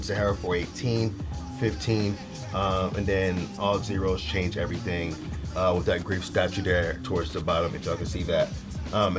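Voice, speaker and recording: A young man talks in a relaxed, rambling way, slangy and full of fillers. His voice sits a little low and sounds stuffed up and nasal from a cold. Music plays clearly behind him. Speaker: fashion vlogger. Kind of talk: casual haul video.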